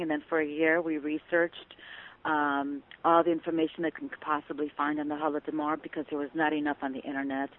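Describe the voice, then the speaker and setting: A woman raised in Detroit, whose first language was Ukrainian, recounting a long story in detail in a phone interview. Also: low-pitched. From United States, Detroit. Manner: detailed, storytelling with quoted dialogue. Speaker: film producer, interview guest. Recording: tinny phone line.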